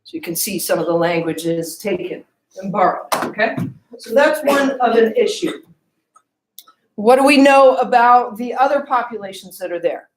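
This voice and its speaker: A woman's voice: fairly high pitch, animated, moderate pace, roomy sound, rough recording. A woman giving a classroom lecture, often asking the class questions and checking in with "okay?" and "right?".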